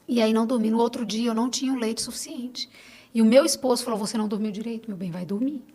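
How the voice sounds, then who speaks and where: Brazilian woman on a podcast, highish voice, chatty and emphatic, says things twice for stress. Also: fairly even intonation, quick pace, warm tone, slightly rough. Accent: Brazilian Portuguese. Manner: emphatic, repeats phrases for stress. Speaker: podcast guest. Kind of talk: casual interview conversation.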